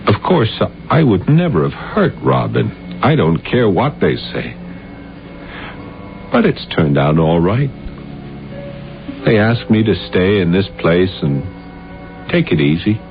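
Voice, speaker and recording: An actor playing an unstable, deluded man in an old-time radio drama, halting, stammering and repeating his words. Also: lowish voice, very animated, creaky, faint music behind, heavily acted. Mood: wistful, vague. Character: unstable, deluded playwright who insists he killed his uncle. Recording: muffled, hissy 1970s radio recording.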